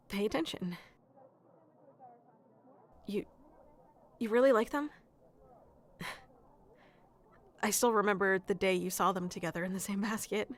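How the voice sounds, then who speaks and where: A woman playing a sweet, flustered florist secretly in love with a best friend, in an intimate audio roleplay with a hesitant, soft-hearted manner. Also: medium pitch, natural voice. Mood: tender. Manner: hesitant, soft-hearted, breaking into nervous chuckles and sighs. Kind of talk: intimate ASMR roleplay acting.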